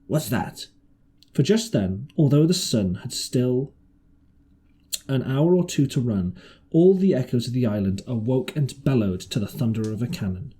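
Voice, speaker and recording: A man's voice: mid-range voice, big pitch swings, moderate pace, warm tone, slightly rough. A man narrating an adventure novel as an amateur audiobook reader, now and then stumbling over a word.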